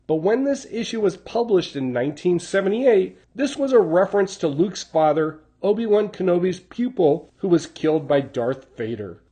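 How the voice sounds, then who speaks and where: A man's voice: high-pitched, dark tone. A man reading scripted narration, recapping stories in the role of a channel host.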